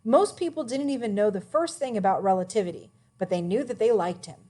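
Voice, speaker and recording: A woman reading a children's biography aloud as a narrator, with expressive, emphatic phrasing. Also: mid-range voice, brisk.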